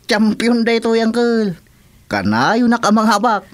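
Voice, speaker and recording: A man's voice: high-pitched, sing-song, unhurried. Man playing a cocky young Ilocano racer. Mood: boastful. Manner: boastful, breezy. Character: cocky, carefree young motorbike-racing nephew. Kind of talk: rural radio comedy drama acting.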